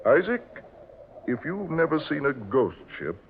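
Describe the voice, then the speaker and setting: An actor playing a gruff, commanding and easily irritated ship's officer in an old-time radio drama. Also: male voice, on the high side, sing-song, a little slow, exaggerated voice. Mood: grave, awed. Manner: brusque, authoritative, terse orders. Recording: muffled, tinny old radio recording with hiss.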